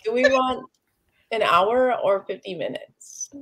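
Creaky-voiced young woman hosting. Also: medium pitch, very animated, slow pace, fairly bright tone, poor audio. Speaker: livestream host. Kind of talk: casual livestream hosting. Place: room at home, video call. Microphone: video call.

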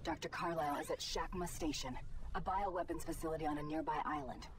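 A woman's voice: low-pitched, steady tone, quick pace, background noise, natural voice. An actress playing an earnest, conflicted female scientist who briefs the hero in an action game. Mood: resolved. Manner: earnest, urgent mission briefing. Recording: game music behind voice.

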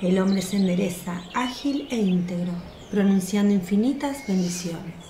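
A woman's voice: mid-range voice, normal speed, warm tone. A woman reading scripted narration for a radio drama. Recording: roomy sound with faint noise. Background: birdsong, outdoor ambience.

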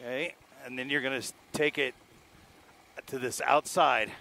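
A middle-aged man talking casually on a helmet headset outdoors, giving calm, reassuring directions. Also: mid-range voice, moderate pace, gravelly, a little hiss or hum. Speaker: motorcycle travel vlogger. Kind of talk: casual chat. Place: outdoors, forest meadow. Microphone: helmet headset mic.

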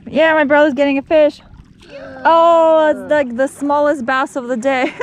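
A woman's voice: high-pitched, steady tone, a little slow, crowd noise. A woman vlogging on a fishing trip from a boat on a lake, chatty and cheerful, laughing often. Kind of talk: casual outdoor vlog narration.

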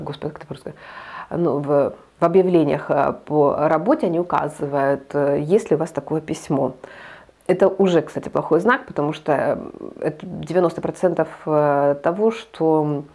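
A woman's voice: deep voice, creaky, darkish tone. A woman in her thirties talking casually to camera as a vlogger, hesitant with many fillers and restarts, recorded on a lapel mic. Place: indoor studio with green backdrop.

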